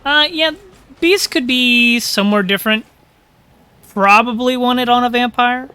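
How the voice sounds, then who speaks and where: A man's voice: extremely high pitch, measured pace, bright, forceful tone, smooth. A man casting a live tabletop-style video game match, chatting casually and thinking aloud, with a slightly crackly throat. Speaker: caster.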